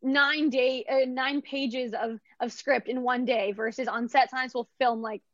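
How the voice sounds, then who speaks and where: A bright, higher-pitched woman from Vancouver talks about her acting work in a chatty, upbeat way, full of "like" and quick restarts. Her voice comes across dry and a little dull, as if on a lo-fi recording. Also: steady tone, no room sound. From Canada, Vancouver. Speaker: actress being interviewed. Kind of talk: casual interview answers.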